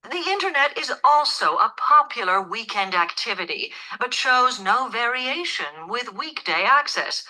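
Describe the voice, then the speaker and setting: A woman reading scripted listening test instructions and lecture material in a measured, clearly enunciated way. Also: on the high side, very animated, pushed and bright, rough recording. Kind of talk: scripted exam narration and lecture.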